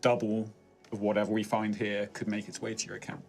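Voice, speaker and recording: A man's voice: fairly low pitch, monotone, brisk, vocal fry, a little mellow, soft background music. A man playing a tabletop roleplaying game, making casual in-character small talk.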